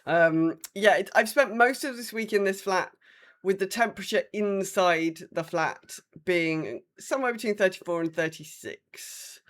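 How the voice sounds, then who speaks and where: A woman's voice: mid-range voice, unhurried. A British woman co-hosting a podcast with casual, wry, rambling chat. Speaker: podcast co-host.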